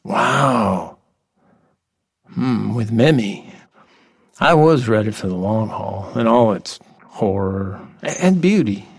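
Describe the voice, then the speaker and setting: A man acting in an audio drama as an odd, playful, lonely middle-aged workman, whimsical and halting, with earnest oddball candor. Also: on the low side, lively intonation, creaky, breathy edge, heavily acted. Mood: wistful, confiding.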